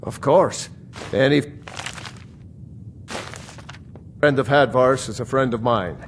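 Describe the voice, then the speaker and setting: A man voicing a generous, reassuring villager in a fantasy video game, speaking in a measured way. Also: mid-range voice, a little hiss or hum, lightly acted. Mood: helpful, friendly. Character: kindly, generous village man welcoming travelers. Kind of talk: video game character acting.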